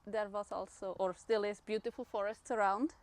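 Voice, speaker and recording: A woman's voice: mid-range voice, steady tone, normal speed, a little mellow. Swiss woman, chatty and often laughing. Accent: Swiss German. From Switzerland. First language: Swiss German. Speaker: guest runner. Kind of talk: casual interview talk.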